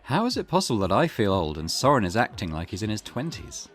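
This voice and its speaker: Casting: male gaming host with a lower, expressive voice, exasperated and wry, chatting over game music. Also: quick pace. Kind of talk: casual game commentary and reading narration aloud.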